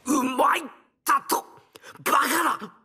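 An actor playing an arrogant, grudging young hero and gourmet in an anime audio drama, with a pompous, blustering, rough way of speaking. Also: male voice, extremely high pitch, steady tone, unhurried, bright, forceful tone, no room sound, studio quality, heavily acted. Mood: flustered, amazed.

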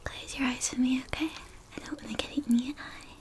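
A young woman whispering softly in a girlfriend-style ASMR roleplay, doting and giggly. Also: high voice, steady tone, quick pace. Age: young adult. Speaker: ASMR creator. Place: bedroom with purple lights.

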